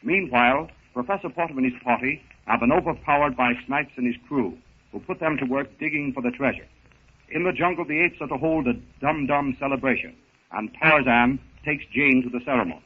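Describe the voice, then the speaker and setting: A man's voice: mid-range voice, brisk, breathy edge. A man announcing a 1930s radio serial with a scripted, dramatic recap. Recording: tinny narrow 1930s radio recording.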